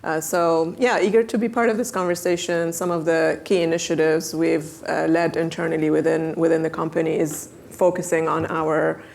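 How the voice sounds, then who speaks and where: Woman panelist, low and gravelly, filler-heavy delivery. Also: level tone, a little mellow. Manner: halting, full of fillers. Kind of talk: panel presentation.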